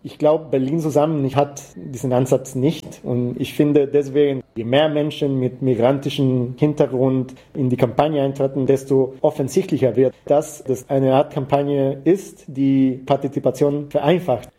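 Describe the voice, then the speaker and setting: A man's voice: medium pitch, steady tone, normal speed, hardly any pauses, mellow. A man from Rica, answering interview questions in German with frequent hesitations and fillers. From Costa Rica. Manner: hesitant, frequent "äh" fillers, non-native grammar. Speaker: activist guest.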